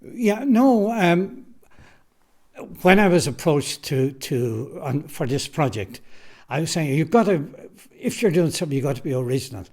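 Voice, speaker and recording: A man's voice: a little high, very animated, moderate pace, a little mellow. An Irish man who writes and directs radio drama, answering interview questions casually and emphatically, often repeating his phrases, in a recording studio.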